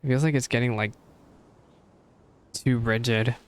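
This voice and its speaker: A man's voice: mid-range voice, fairly even intonation, measured pace, clear, resonant tone. A man giving casual gameplay reaction commentary as a streamer, chatting in rambling asides.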